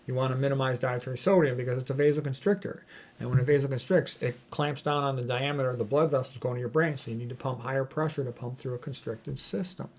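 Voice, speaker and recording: A man's voice: mid-range voice, steady tone, fast, a little mellow. A man giving an informal explanatory health talk as an educator, speaking in a blunt, opinionated and colloquial way. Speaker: health educator, medical doctor.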